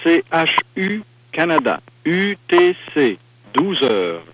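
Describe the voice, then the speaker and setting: A muffled shortwave broadcast with beeps carries a male time announcer. He speaks formally and very deliberately, in a slightly high voice, pausing between phrases. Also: sing-song, a bit bright. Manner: measured, separated phrases. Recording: dull shortwave radio with beeps. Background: time signal beeps.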